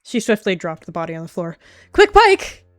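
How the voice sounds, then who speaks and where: A woman narrates a roleplay story in a steady, descriptive way. Her voice sits somewhat low for a woman. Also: fast talker, no room sound. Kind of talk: narrating a warrior-cat roleplay.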